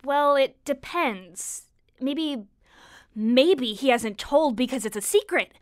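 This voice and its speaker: A woman playing a caring, fretful young girl in a nostalgic period audio drama, gentle, hesitant and reassuring with a friend. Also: high-pitched, expressive, slow pace, no echo, studio quality. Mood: gently reassuring.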